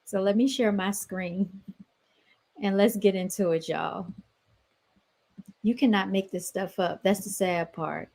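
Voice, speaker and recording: A woman's voice: mid-range voice, fairly even intonation, unhurried, gravelly, long pauses, a little mellow. A woman with a mid-range voice hosts a casual commentary podcast. She talks straight to her listeners in a chatty, mocking tone. Her delivery is loose and informal. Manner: chatty and sarcastic, laughs often, keeps addressing listeners. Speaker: podcast host. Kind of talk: casual commentary reacting to clips.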